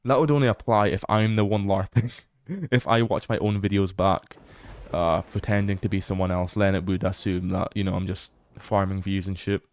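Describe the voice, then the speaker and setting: A young British man with a fairly low voice, talking into a desk mic in a dry, close sound. He rambles casually with a stream audience, swearing freely. Now and then he breaks into excited exclamations. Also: moderate pace, no echo. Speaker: livestreamer. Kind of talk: casual livestream chat while exploring a game.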